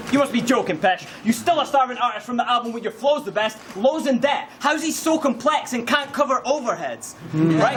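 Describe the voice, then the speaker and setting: A young Scottish man with a Scottish accent, delivering battle rap verses with an aggressive, punchy style and shouted punchlines. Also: extremely high pitch. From Scotland. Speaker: battle rapper. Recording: noisy live event recording.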